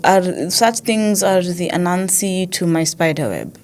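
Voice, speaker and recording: A young woman with an East African accent speaks in a slightly low register. She hosts as a poet, reflecting out loud in a loose, informal way. Her delivery is expressive, with phrases often repeated while she searches for the next word. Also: measured pace. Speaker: host and poet. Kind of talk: casual reflective monologue with code-switching.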